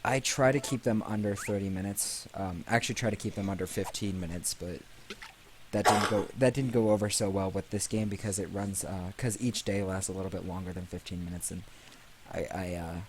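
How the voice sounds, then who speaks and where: Low male voice, casual gaming host, rambling and self-deprecating, game music behind. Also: fairly even intonation, a little fast. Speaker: let's play host. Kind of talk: casual let's play commentary. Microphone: desk microphone picking up the room.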